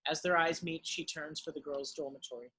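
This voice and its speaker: Bright, husky male screenplay narrator. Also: on the high side, steady tone, fairly quick, rough recording. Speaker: narrator of stage directions. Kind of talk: scripted dramatic narration.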